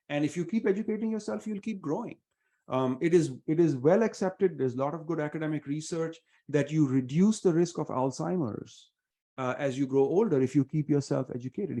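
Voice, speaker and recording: A middle-aged man from India with an Indian accent, giving earnest, measured interview answers with many fillers. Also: fairly high pitch, mellow. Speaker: venture investor guest.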